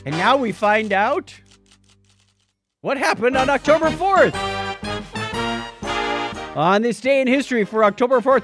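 Playful, animated male podcast co-host. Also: sits high. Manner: joking, playful. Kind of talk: casual comedic banter.

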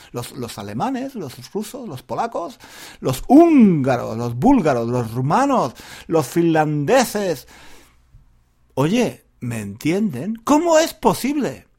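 A man speaks as a teacher to language learners in an informal monologue. His voice sits slightly high and moves up and down with great animation. He keeps the pace slow, repeating phrases and throwing out rhetorical questions theatrically. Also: darkish tone. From Granada, Andalusia, Spain. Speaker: Spanish teacher, podcast host.

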